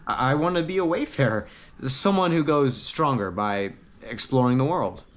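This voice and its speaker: An earnest young man from a fantasy tale, voiced by a male narrator. He sounds serious and hopeful, a little halting, with a lively rise and fall as he states his dream. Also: mid-range voice, lightly acted. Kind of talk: acted storybook dialogue.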